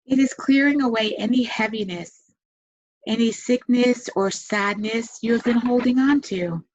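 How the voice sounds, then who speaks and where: A woman leading a guided meditation, giving gentle, soothing instructions with long pauses. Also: on the high side. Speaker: meditation guide and massage therapist. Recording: dull, muffled lo-fi sound.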